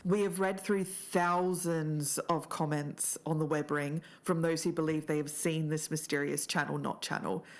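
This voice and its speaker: A woman's voice: low-pitched, fairly even intonation, unhurried, hardly any pauses. A woman co-hosting a podcast, reading scripted documentary-style narration. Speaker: podcast co-host. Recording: clear music bed under voice. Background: music.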